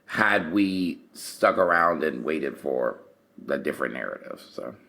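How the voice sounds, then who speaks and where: A man's voice: a bass voice, expressive, a little slow, creaky, pushed and bright. A man co-hosting a podcast, chatting casually in a slangy way as he recaps reality TV with his co-host.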